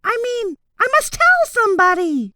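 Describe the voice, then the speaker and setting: Woman voicing a tiny flustered mouse, very high. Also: very animated, moderate pace, pushed and bright, no echo, studio quality, exaggerated voice. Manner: muttering, fussy, tripping over words. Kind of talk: children's storybook character voice.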